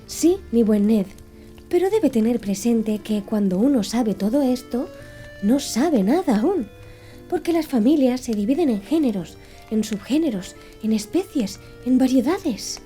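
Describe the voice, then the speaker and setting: A woman's voice: a little high, lively intonation, a little slow, warm tone, faint music behind. A woman doing a pedantic young manservant. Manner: impassive, reciting lists precisely. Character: pedantic young male servant naturalist.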